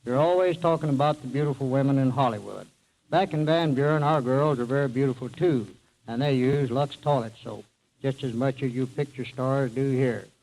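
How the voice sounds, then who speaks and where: Courteous small-town man, mid-pitched. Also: normal speed, a little mellow, rough recording. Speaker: small-town mayor, radio guest. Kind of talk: prepared radio banter.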